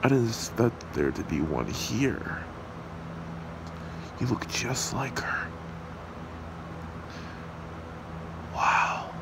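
A man voicing a possessive, smug demon kidnapper in an amateur ASMR roleplay, taunting and unhurried. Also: on the low side, creaky, full character voice. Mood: smug, menacing. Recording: steady hiss and hum behind voice.

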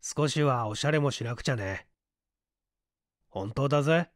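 A man's voice: mid-range voice, expressive, moderate pace, no room sound, close to an everyday voice. A man playing a male office section chief in an audiobook, speaking in a familiar, casual way. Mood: teasing. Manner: familiar, questioning.

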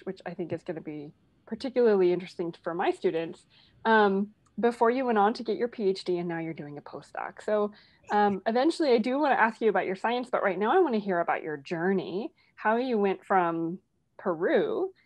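A woman in her thirties hosting an interview over a video call, asking questions in a measured way with frequent fillers. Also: fairly low pitch, highs cut off. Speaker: interview host, educator. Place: home room with bookshelves. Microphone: webcam on video call.

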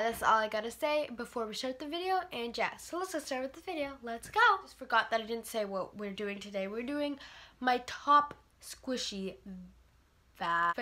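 Teen girl, congested cold voice, bright tone, chatty rambling vlog, bedroom, camera mic. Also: fairly high pitch. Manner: chatty, rambling, many fillers. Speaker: vlogger. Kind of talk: casual product review vlog. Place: bedroom, indoors.

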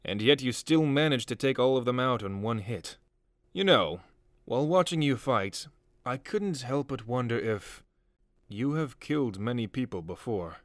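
A man's voice: mid-range voice, animated, moderate pace, dry recording, studio quality, close to an everyday voice. A man playing an encouraging army drill instructor in a comic dub, authoritative yet friendly. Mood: chiding, curious. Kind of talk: dramatic comic dub acting.

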